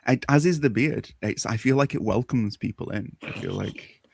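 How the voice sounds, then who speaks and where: A man's voice: medium pitch, moderate pace, gravelly, breathy edge, no echo. A man hosting a casual livestream chat in a playful, jokey, enthusiastic way. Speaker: host.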